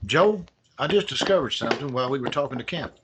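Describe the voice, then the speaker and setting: An actor playing a calm, methodical male detective partner in a radio drama. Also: medium pitch, fast, background noise, natural voice. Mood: explaining.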